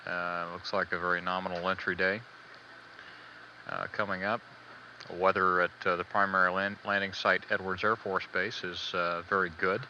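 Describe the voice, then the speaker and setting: A man giving live spaceflight status commentary as a mission control announcer, measured, hesitant and matter-of-fact, on old band-limited broadcast audio. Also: low voice, level tone, bright, forceful tone, highs cut off, a little hiss or hum.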